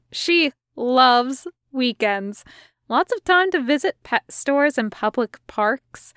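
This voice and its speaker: A woman reading scripted fiction as narrator, with a measured, wry storytelling manner. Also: high voice, fairly bright tone, no echo, clean studio sound. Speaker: podfic reader.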